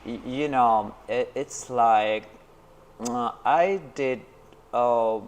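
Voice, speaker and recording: A man's voice: medium pitch, extremely slow. A man from Brooklyn, New York, answering interview questions in a casual, hesitant way, with many fillers and restarts. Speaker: interview guest, artist and teacher.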